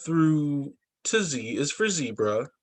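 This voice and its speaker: A man who is a teacher reading a children's picture book aloud in an expressive, sing-song storytelling way. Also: on the high side, takes it slowly. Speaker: teacher reading to young students.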